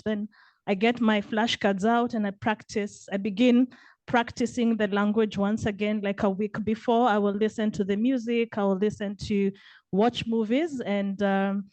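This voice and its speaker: A woman from Kenya with a Kenyan accent, speaking up from the audience at a panel in an earnest, measured way. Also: medium pitch, level tone, darkish tone, dry recording. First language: Kenyan vernacular. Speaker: audience member asking a question.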